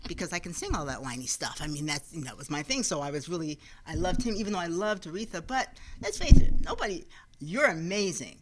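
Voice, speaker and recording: Deep-voiced chatty woman host. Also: lively intonation, brisk, very noisy. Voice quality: deep voice, almost baritone. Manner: chatty, tells anecdotes with acted quotes. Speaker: radio talk show host. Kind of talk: casual interview chat.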